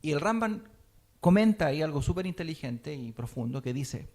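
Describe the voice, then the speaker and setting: A man's voice: on the high side, lively intonation, normal speed, darkish tone. A man teaching a sermon, often checking in with his listeners. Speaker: teacher of a Messianic congregation.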